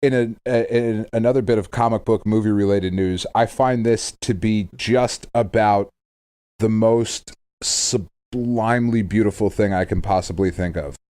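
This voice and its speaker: A man's voice: on the low side, fairly even intonation, normal speed, darkish tone, dry recording. A man co-hosting a podcast, chatting casually in an emphatic, exclamatory way with loose, sweary language. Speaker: podcast co-host. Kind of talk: casual chat about films.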